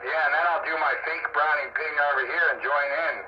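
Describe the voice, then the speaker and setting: A man's voice: a little high, fairly even intonation, fairly quick. A man chatting casually over amateur radio in a rambling, folksy way, repeating himself as he goes. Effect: AM two-way radio transmission. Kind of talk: casual on-air radio chat. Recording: muffled noisy shortwave radio signal. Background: clear radio static and hiss.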